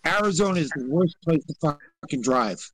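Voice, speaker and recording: A man's voice: fairly high pitch, expressive, fairly quick, a bit bright, low-quality recording. An American man from New York chatting casually in a live audio chat, blunt and given to swearing. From New York, United States.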